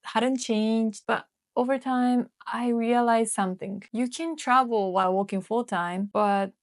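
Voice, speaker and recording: A woman in her twenties from Japan, speaking English with a Japanese accent in a calm, reflective voice-over for her vlog. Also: fairly high pitch, a little slow, dry recording, clean studio sound. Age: 20s. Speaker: vlogger. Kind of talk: scripted voice-over narration.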